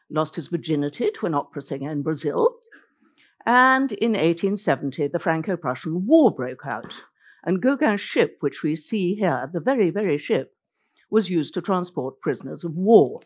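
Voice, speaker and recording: A woman's voice: low voice, very animated, moderate pace, husky. A woman whose first language is Norwegian, giving an illustrated lecture as a biographer, telling the story vividly with wry asides.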